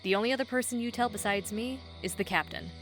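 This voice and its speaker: A woman's voice: a little high, fast talker, a bit bright, soft background music, close to an everyday voice. A woman playing a businesslike, weary police detective in an audio drama, with a dry manner. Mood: baffled, firm. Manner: dry, no-nonsense.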